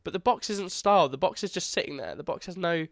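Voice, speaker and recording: A man's voice: a little high, expressive, fast, vocal fry, a bit bright, no echo, clean studio sound. A young man, congested, casually talking through a coding tutorial and correcting himself as he goes. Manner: casual, chatty, self-correcting. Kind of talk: screen-recorded coding tutorial.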